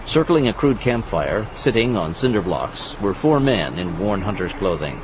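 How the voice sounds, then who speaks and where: A man's voice: lowish voice, sing-song, moderate pace, noisy background. A man reading scripted, dramatic narration for an action thriller audiobook. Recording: loud vehicle and outdoor effects behind.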